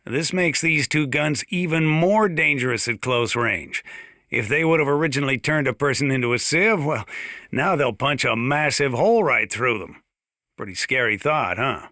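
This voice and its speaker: A man's voice: medium pitch, normal speed, sharp tone, no echo, studio quality. A man playing a folksy, gun-loving small-town sheriff in a video game, chatting boastfully in a conversational radio-style monologue. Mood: relaxed, boastful.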